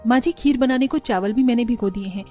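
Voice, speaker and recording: A woman's voice: fairly high pitch, fast, darkish tone, soft background music, close to an everyday voice. A woman voicing a sensible, obedient young daughter-in-law in a cartoon, dutiful and practical. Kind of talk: Hindi cartoon voice acting.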